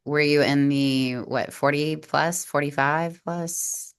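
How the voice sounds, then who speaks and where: Low-voiced, upbeat woman podcast host. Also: steady tone, takes it slowly, smooth. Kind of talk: podcast interview.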